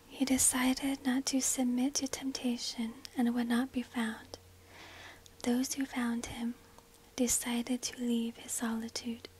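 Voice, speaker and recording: A woman whispers a scripted story for ASMR, extremely breathy and without voicing. Her delivery is slow and deliberate, with very little melodic movement, as she narrates. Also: fairly high pitch, mellow, rough recording. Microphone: close binaural microphone.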